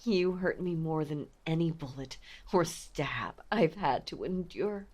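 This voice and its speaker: A woman playing an obsessive, possessive mafia heiress ex-lover in an intimate audio drama, speaking with menacing sweetness and often slipping toward a breathy whisper. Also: sits low, animated, unhurried, a little mellow. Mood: wounded menace. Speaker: voice actor. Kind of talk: intimate dramatic roleplay monologue.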